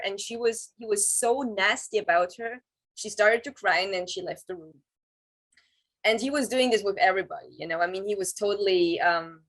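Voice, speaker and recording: A woman's voice: mid-range voice, a bit bright, low-quality recording. A woman in her thirties from Switzerland with a Swiss German accent, whose first language is Swiss German, speaking hesitantly in English as an interview guest. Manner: hesitant, many "um" pauses, searching for words. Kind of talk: casual interview over video call.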